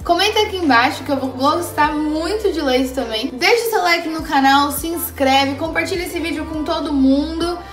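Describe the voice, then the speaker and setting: A young woman vlogger chatting casually and motivationally, animated and slangy, speaking straight to her viewers. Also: high voice, moderate pace, few pauses. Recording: roomy echo, faint background music.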